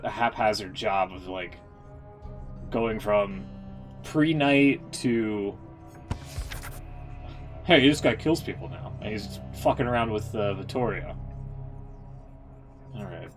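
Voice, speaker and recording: A man giving casual, rambling game-streamer commentary, thinking aloud and swearing casually. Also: mid-range voice. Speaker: game streamer reacting to lore and cutscenes.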